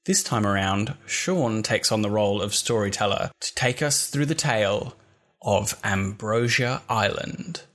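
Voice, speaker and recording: A man's voice: fairly low pitch, measured pace, a bit bright, no room sound. A man announcing a podcast, reading scripted credits.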